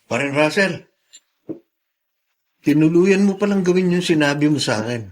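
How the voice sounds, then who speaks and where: A man's voice: on the high side, expressive, moderate pace, close to an everyday voice. A man playing an earnest reproachful colleague. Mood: admonishing. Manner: earnest, admonishing. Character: principled male colleague, reproachful longtime friend.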